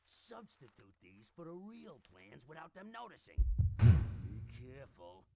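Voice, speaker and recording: An actor playing a wily, grumbling goblin engineer in a cartoonish video game, with a scheming, conspiratorial way of talking. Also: male voice, a little high, wildly swooping pitch, measured pace, vocal fry, heavily acted. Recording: dull lo-fi game audio, loud music.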